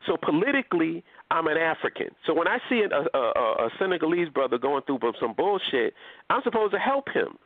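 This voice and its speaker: A man from Nigeria speaking with a lively, swinging delivery, blunt and emphatic, cursing freely. His voice sits a bit high for a man. It comes through dry and tinny, like a phone line. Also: a little fast, dry recording. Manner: emphatic, profane, animated. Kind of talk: casual group discussion.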